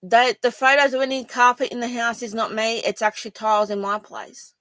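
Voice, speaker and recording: A woman's voice: a little high, little pitch movement, brisk, fairly bright tone, dry recording. An Australian woman from Sydney speaking to viewers in a personal video, rambling and defensive, with many ums and restarts. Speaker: vlogger.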